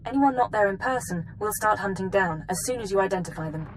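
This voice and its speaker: An actress playing a focused mission controller in a video game, giving brisk instructions. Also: female voice, mid-range voice, lively intonation, lightly acted, rough recording. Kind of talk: cinematic video game acting.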